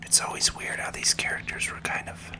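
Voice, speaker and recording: A person whispering calm, unhurried ASMR commentary over a video game playthrough in a soft, breathy voice. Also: rough recording. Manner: calm, unhurried, gently explanatory. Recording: music behind the whisper. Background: game music.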